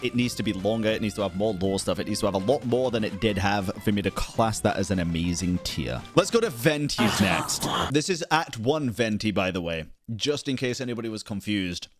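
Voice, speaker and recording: Animated young man giving casual gaming commentary. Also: fairly low pitch, brisk, faint music behind. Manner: slangy, blunt, emphatic. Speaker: gaming content creator and streamer.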